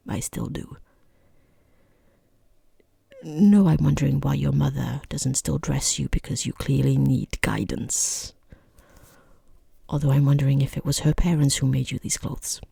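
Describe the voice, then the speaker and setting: A person voicing a rude, condescending boss in a soft-spoken ASMR roleplay, with a breathy half-whisper, hesitant pauses and dryly mocking, falsely polite understatement. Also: medium pitch, no echo, heavily acted. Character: rude, condescending boss conducting a job interview.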